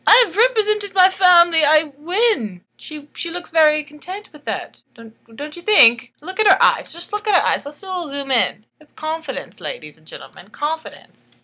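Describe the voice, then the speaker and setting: A woman's voice: high voice, sing-song, normal speed, few pauses, pushed and bright. A woman reading a bedtime story in a theatrical, tongue-in-cheek way, giggly and chatty, repeating phrases for emphasis. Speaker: storyteller and illustrator.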